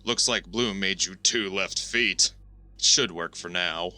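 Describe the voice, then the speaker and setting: A man acting as a brash ship mechanic in a sci-fi audio drama, with a lowish, very sharp and forceful voice. He teases and wisecracks sardonically. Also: takes it slowly, exaggerated voice. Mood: sardonic.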